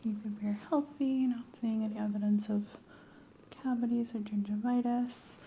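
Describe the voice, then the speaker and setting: A soft-spoken woman in an ASMR medical roleplay, gently and calmly playing an examiner, often dropping into a whisper. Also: fairly high pitch. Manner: gentle, reassuring, unhurried clinical narration. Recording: roomy echo, faint ambient noise.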